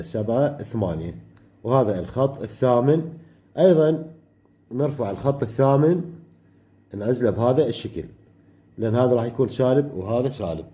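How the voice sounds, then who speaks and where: A man with an Iraqi Arabic accent teaching an electronics workshop step by step, pausing often mid-phrase to find the next word. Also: mid-range voice, dark tone. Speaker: electronics tutor.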